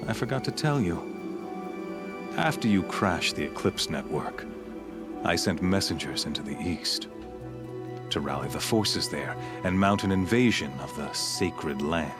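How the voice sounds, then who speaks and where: A man playing a cruel, smug cult leader in a video game cutscene, speaking in a measured, menacing and grandiose way. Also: deep voice, lively intonation. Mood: smug gloating. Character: cold, cruel male cult leader, smugly zealous villain. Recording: voice under background music.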